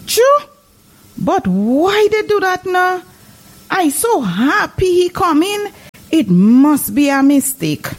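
Excitable devout old village lady, female actor: Dominican Caribbean accent, very high and animated, gushing, drama dialogue. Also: slow, full character voice. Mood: disbelieving. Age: older. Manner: gushing, exclamatory. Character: excitable, devout, gullible older village woman.

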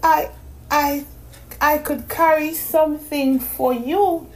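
A woman's voice: high voice, steady tone. A woman voicing a sleepy young girl who stammers and yawns through her words. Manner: stammering, breathy, drawn-out yawning speech.

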